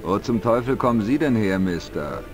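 A man's voice: fairly low pitch, expressive, normal speed, natural voice. An actor playing a simple, gullible, talkative village man in an adventure game, with naive, colloquial speech. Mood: naive curiosity. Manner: naive, colloquial, exaggerated swings of emphasis. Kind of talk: dubbed adventure game dialogue. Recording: music behind the voice. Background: game music.

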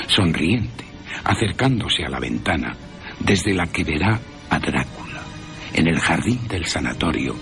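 Male radio narrator, slow deliberate phrasing, animated intonation, theatrical, wry. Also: deep voice, creaky. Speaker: radio drama narrator and presenter. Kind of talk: dramatic horror storytelling, scripted narration.

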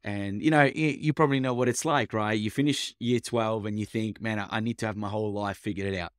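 A man's voice: fairly low pitch, steady tone, brisk, no room sound, studio quality. A young man who grew up in Italy, a coach talking casually as a podcast guest, with many fillers and restarts. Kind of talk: casual interview chat.

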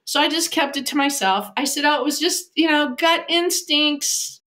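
A woman's voice: high voice, moderate pace, bright, forceful tone. A woman in her fifties from the United States, an animal communicator telling a vivid story as a podcast guest over a video call from a home office. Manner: vivid storytelling, acting out quoted voices. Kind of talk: storytelling in an interview. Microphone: video call, webcam.

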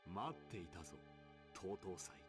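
Very deep, haughty demon lord. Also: male voice, lively intonation, fast. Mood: cold, demanding. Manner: imperious, menacing. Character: cold, haughty young-looking male demon lord. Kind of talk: Japanese anime game voice acting. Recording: loud game music over voice. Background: loud game music.

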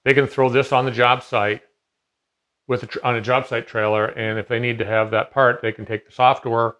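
A man in his fifties talks through a machine in his workshop, voice sitting in an ordinary middle pitch. He wanders through his points with plenty of fillers and restarts. It sounds like a casual chat at a bench in a big garage shop. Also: level tone, normal speed, a bit bright, muffled recording. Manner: rambling, many fillers and restarts. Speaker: CNC machine dealer. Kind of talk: casual explanation in a workshop conversation. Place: large home garage workshop.

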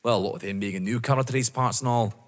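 An actor playing a polite, persistent police detective in an audio drama, deferential yet probing. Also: male voice, mid-range voice, quick pace, close to an everyday voice. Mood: insistent, polite. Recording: reverberant hall sound.

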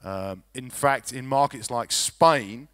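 A man's voice: mid-range voice, fairly bright tone. Guy in his 40s on a conference stage, handheld mic, lots of uhs and restarts. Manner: measured, many uh fillers and restarts. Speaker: record label digital executive on panel. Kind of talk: conference panel talk. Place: large conference hall stage.

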